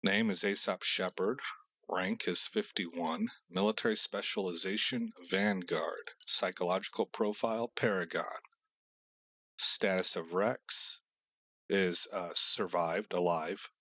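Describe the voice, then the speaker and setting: A man's voice: low-pitched, steady tone, takes it slowly. A man hosting a gaming channel, giving casual playthrough commentary. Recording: loud game music behind the voice.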